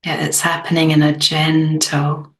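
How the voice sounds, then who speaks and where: A woman leading a guided meditation in a gentle, unhurried way, leaving long pauses between short phrases. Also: low voice, completely flat delivery.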